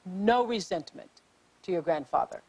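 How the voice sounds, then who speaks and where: A woman's voice: lowish voice, lively intonation, normal speed, darkish tone, husky, poor audio. A woman hosting a television talk show, chatty and affirming, often backing up her guest. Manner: chatty, affirming, many mm-hmms.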